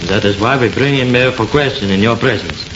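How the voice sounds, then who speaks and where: A man playing a brisk, commanding police sergeant with a French accent and formal broken English, in an old radio horror play. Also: medium pitch, close to an everyday voice. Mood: official. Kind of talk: 1930s radio horror acting. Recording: muffled, noisy, echoing old radio recording.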